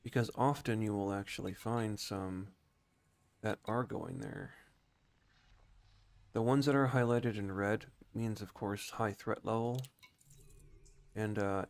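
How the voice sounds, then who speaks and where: A man's voice: lowish voice, fairly even intonation, unhurried, long pauses. A man giving casual commentary as he plays a space flight game, with short role-play voice commands.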